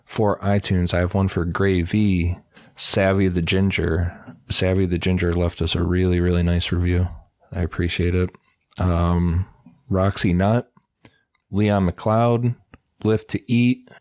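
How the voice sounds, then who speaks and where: A man's voice: flat delivery, slow, a little mellow, no echo, clean studio sound. A young man co-hosting a casual podcast, speaking briefly and reading out listener reviews. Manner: low-key, brief. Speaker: podcast co-host.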